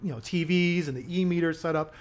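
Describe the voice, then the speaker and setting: A man's voice: fairly high pitch, fast talker. A man talking as a podcast guest in casual conversation, emphatic and rising in volume when he makes a point. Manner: emphatic, gets louder when making a point. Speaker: activist guest.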